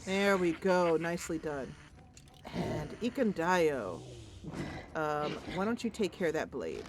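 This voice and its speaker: A woman's voice: low-pitched, big pitch swings, slow, noisy background. A woman doing casual gameplay commentary, thinking aloud playfully and talking to the game's characters. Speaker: host of a game playthrough.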